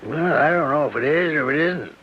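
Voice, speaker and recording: An actor playing a folksy, plain-spoken small-town sheriff who quietly probes, in a radio mystery drama. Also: male voice, a little high, animated, natural voice. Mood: casually probing. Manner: plain-spoken, rural phrasing, deliberate. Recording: dull lo-fi radio recording with hiss.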